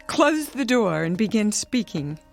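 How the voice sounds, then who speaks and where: A woman's voice: mid-range voice, sing-song, a little slow, creaky, faint music behind. An actress playing a stubborn, suspicious woman patient in a radio drama, insistent and defensive. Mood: defiant.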